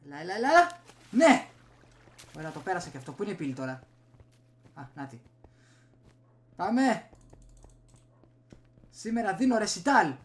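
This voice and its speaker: A man's voice: high-pitched, big pitch swings, long pauses. A young man giving casual gameplay commentary, muttering and reacting in short bursts. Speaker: gaming streamer. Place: small room indoors at home. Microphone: desk USB microphone.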